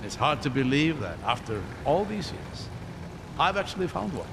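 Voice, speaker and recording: A man playing a gentle, bookish scholar in a video game, articulate, curious and earnest. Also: a little high, sing-song, normal speed, gravelly, background noise. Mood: curious, enthusiastic. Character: gentle, bookish male scholar, eager and grieving father. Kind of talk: video game cutscene acting. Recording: long cavernous reverb, music behind.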